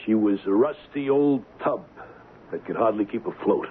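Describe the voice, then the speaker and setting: A man's voice: on the low side, lively intonation, moderate pace, close to an everyday voice. A man playing a loyal, anxious, plain-spoken ship's engineer in an old radio drama, earnest and hesitant. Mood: guarded, blunt. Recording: very noisy, tinny old radio recording.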